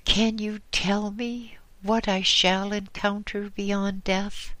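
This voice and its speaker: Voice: mid-range voice, slow, fairly bright tone, no room sound, voice pushed up, rough recording. A narrator doing a frightened old widow. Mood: beseeching. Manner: trembling, beseeching. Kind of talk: audiobook character voice.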